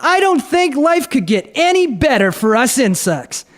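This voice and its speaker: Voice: high-pitched, sing-song, unhurried. An actor playing a commanding, theatrical beetle colonel in a radio drama. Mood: bossy, rallying. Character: bossy Colorado beetle colonel rallying the swarm.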